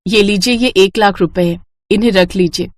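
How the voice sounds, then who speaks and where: A woman acts out a poor, hardworking mother from a storybook. She speaks with earnest deference, humble and full of gratitude. Her voice sits at an ordinary womanly pitch. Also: normal speed, dry recording, natural voice. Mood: grateful. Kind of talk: acted dialogue in a children's moral story.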